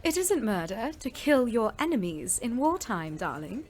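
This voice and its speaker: An actress playing a prim, sentimental young volunteer in a wartime audio play, genteel and patriotic. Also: female voice, a little high, very animated, moderate pace, a little mellow, soft background music. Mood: primly reproving. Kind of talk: audio drama acting.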